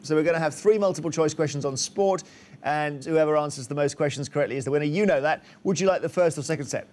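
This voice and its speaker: A man's voice: fairly high pitch, quick pace, darkish tone. A British man hosting a TV quiz, moving between scripted links and banter with contestants. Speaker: quiz show host.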